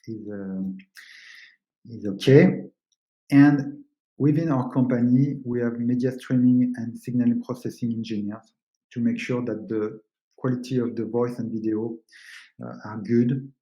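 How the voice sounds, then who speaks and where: A man giving a technical webinar presentation, explaining things in slightly halting English. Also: mid-range voice, dark tone. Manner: explanatory, halting non-native English phrasing. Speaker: webinar presenter, company co-founder. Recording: roomy, echoing sound.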